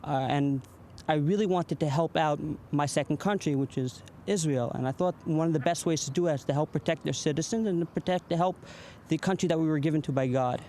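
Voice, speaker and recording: A man's voice: on the high side, brisk, hardly any pauses, mellow. A young man with an American accent, a soldier giving a personal interview answer. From United States. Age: young adult. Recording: outdoor urban traffic noise.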